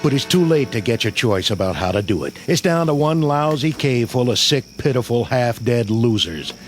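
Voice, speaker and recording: An actor playing a war-weary, humane army sergeant in a radio drama, dry and sarcastic with a folksy manner. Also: male voice, mid-range voice, expressive, moderate pace, close to an everyday voice. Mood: bitter, defiant. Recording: radio drama with faint music underneath.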